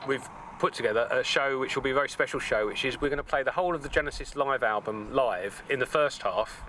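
A man, a tribute band founder giving detailed, steady explanations in a casual interview outside a pub. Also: medium pitch, quick pace. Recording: outdoor street noise with passing traffic.

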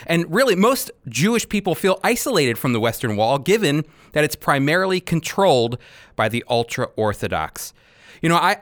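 A man hosting a radio program and teaching from the Bible in an earnest, deliberate, emphatic way. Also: mid-range voice, a bit bright, no room sound.

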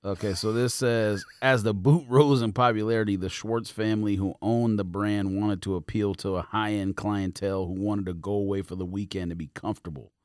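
A man's voice: on the low side, steady tone, a little fast, hardly any pauses, no echo. A man co-hosting a podcast, chatting informally with slang and reading bits of articles aloud. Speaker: podcast co-host. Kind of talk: casual chat with bits read aloud from articles.

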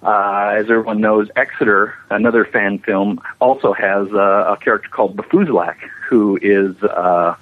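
A man's voice: lowish voice, normal speed, hardly any pauses, phone-quality sound. A man giving casual interview answers as an animator guest, explaining things at length in a modest, self-deprecating way.

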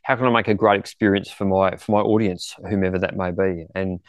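A man's voice: low voice, steady tone, brisk, dry recording, clean studio sound. A man co-hosting a podcast, reflecting appreciatively and casually, often repeating words as he goes. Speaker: podcast co-host.

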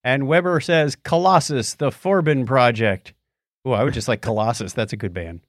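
A man co-hosting a podcast in casual, joking banter, playful and quick with quips and asides. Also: mid-range voice, dry recording, studio quality. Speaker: podcast co-host.

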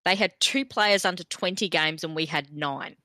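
An Australian woman with a croaky voice chats about football in quick bursts and laughs easily. Her tone is bright and edgy, and the sound is dry and close. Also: lowish voice, no echo. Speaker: podcast co-host. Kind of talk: casual sports chat.

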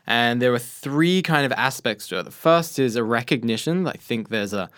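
Young Englishman with a smooth voice. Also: mid-range voice, fairly bright tone, no echo, clean studio sound. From England. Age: young adult. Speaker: guest, student ministries assistant. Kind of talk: casual conversation. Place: radio studio.

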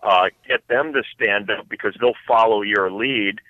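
A man explaining his work as an interview guest in a casual, halting way, restarting his phrases again and again. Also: lowish voice, no echo. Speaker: podcast guest, financial advisor. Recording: thin phone-like line, dry.

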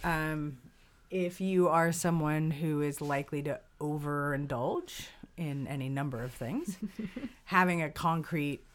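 A woman's voice: low-pitched, measured pace. A woman co-hosting a casual conversation, thinking aloud and posing questions. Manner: thoughtful, poses questions, lively emphasis. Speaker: podcast co-host.

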